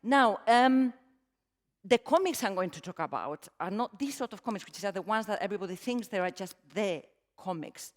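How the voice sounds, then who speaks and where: A middle-aged woman from Spain with a Spanish accent, giving a conference talk in a warm, self-deprecating way with frequent fillers. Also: medium pitch, animated, moderate pace. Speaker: conference speaker. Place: large conference hall. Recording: reverberant hall, stage mic.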